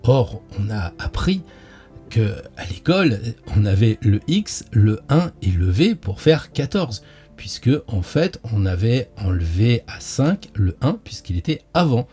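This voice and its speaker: Low, mellow-voiced man explaining tarot. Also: sing-song, measured pace, faint music behind. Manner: playful, chatty, with frequent hesitations. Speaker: tarot teacher and channel host. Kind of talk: casual explanatory talk addressed to the viewer.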